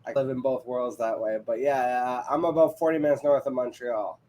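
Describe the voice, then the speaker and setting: A man's voice: medium pitch, fairly even intonation, fairly quick, darkish tone. A man from Canada with a Quebec accent, joking and self-deprecating as a guest on a casual podcast. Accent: Canadian French-English mix, Quebec. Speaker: guest analyst.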